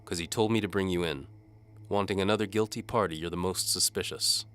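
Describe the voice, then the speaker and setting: A man's voice: sits low, brisk, clear, resonant tone, pitched above the performer's own voice. A man playing a blunt, exasperated, commanding medieval sheriff in an audio drama. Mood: exasperated, stern. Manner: commanding, loud. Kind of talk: audio drama character acting.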